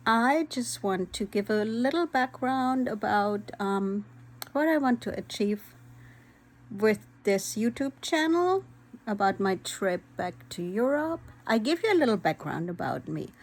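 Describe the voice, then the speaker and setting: A woman's voice: mid-range voice, lively intonation. A woman speaks candidly to her channel in a mid-range voice. She talks in an earnest, unpolished way, stopping often on "um" as she gathers her thoughts. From Vojvodina, former Yugoslavia; raised in Germany. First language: Hungarian. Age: 50s. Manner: earnest, candid, frequent "um" pauses. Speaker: vlogger. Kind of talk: personal vlog talk.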